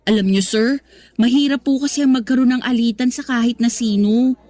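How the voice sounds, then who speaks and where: An actress playing a cheerful, respectful female store employee with a Filipino accent, polite and expressive in a radio drama. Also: on the high side, fairly quick, soft background music, natural voice. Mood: sincere, respectful. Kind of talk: Tagalog radio drama acting, conversational.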